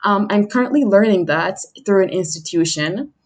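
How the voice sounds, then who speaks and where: A woman's voice: medium pitch, lively intonation, normal speed. A young adult woman chatting casually and self-deprecatingly, as a livestream host introducing herself. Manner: self-deprecating, chuckles while talking. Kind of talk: casual chat monologue.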